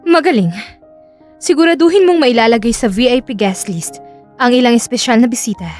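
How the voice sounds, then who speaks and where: Lady doing a rich young boss lady, cool and commanding, a bit high. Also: fairly quick, darkish tone, faint music behind, lightly acted. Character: confident, wealthy young businesswoman.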